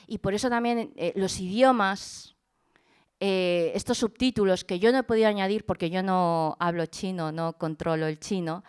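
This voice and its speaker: Woman with a smooth voice at a panel. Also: medium pitch. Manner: earnest, many fillers and restarts. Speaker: panel speaker and project founder. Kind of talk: poetry reading, then panel talk.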